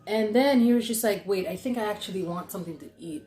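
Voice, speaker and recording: A woman's voice: mid-range voice, fast, warm tone, slight background noise. Young woman storytelling in an echoey room. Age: young adult. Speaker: podcast host telling stories. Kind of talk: casual conversational storytelling. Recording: echoey, roomy sound.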